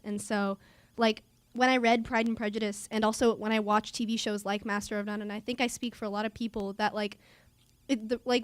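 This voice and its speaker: A woman's voice: mid-range voice, no echo. A teenage girl speaking on a student panel in a rambling, filler-heavy way, saying "like" often. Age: teens. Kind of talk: panel discussion answer.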